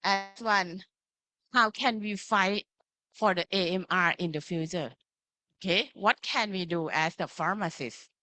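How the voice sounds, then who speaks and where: Female lecturer, Thai accent, unhurried delivery, bright clear-cut tone, interactive class style with frequent little check-ins. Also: on the low side, no echo. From Thailand. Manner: simple phrasing, often checking with "right?" and "okay". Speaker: pharmacy lecturer. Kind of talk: interactive online lecture with slides.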